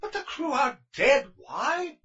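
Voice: high-pitched, big pitch swings, slow pace, a bit bright, heavily acted. An actor playing a whiny robot underling in a comic science fiction audio drama. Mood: whiny, baffled. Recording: dull, echoing lo-fi audio.

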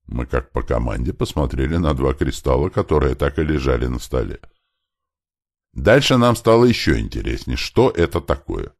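A man reading a novel as an audiobook, in a scripted, expressive narration. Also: a bass voice, moderate pace, dry recording, clean studio sound.

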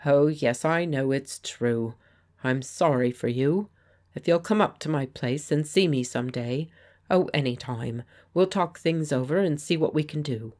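A woman reading a genial, self-assured gentleman. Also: low voice, measured pace. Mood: pleasant. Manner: pleasant, firm, persuasive. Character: genial, confident gentleman painter. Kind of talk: audiobook character voice.

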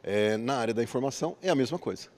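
A man in his forties, a guest business partner, giving measured interview answers with everyday examples into a handheld mic in a large indoor hall. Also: medium pitch, expressive, a little mellow. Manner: measured, explanatory, uses examples.

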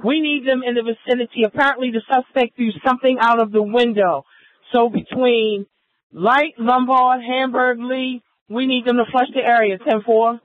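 A woman's voice: on the high side, fairly even intonation, normal speed, dry recording. A woman working as a police dispatcher, relaying information over police radio. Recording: tinny, narrowband police radio scanner feed.

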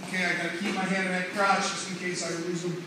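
Middle-aged male wrestling coach, high voice, instructive, talking mid-demonstration; far from the camera, booming gym, noisy. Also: little pitch movement, fast. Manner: instructive, repeats "okay?" to check in; talks while grappling on the mat. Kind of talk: demonstrating technique to students. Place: indoor wrestling room with mats. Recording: echoey room, distant from mic.

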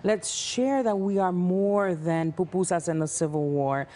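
A woman in her thirties from El Salvador, a nonprofit founder talking passionately as a guest in a TV news studio on a lapel mic. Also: on the low side. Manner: passionate, fast-flowing, animated hand gestures. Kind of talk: TV studio interview.

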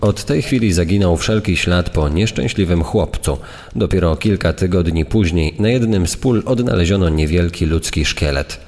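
Low, reverberant male actor as sombre news reader. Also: moderate pace, darkish tone. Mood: sombre, factual. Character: sombre male reader of 1930s newspaper crime reports. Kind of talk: dramatised archival reading in true-crime podcast. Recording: long reverb.

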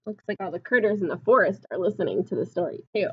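A woman in her twenties reading a picture book aloud like a teacher, expressively, pausing to put simple questions and explanations to young children. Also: mid-range voice, lively intonation, breathy edge, muffled recording. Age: 20s. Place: small classroom, indoors.

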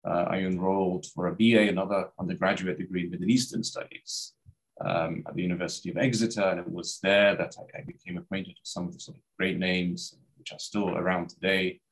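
A man's voice: low-pitched, fairly even intonation, brisk, rough recording. A man in his thirties from Iraq, a scholar speaking in a video-call interview from a study with bookshelves, hesitant with many fillers and restarts. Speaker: guest scholar. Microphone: webcam on video call.

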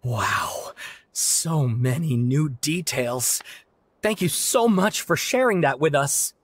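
A man's voice: fairly high pitch, lively intonation, slow, breathy edge, no echo, heavily acted. A man voicing a polite, earnest, long-winded scholar in a game, speaking formally and eagerly. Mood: grateful, apologetic.

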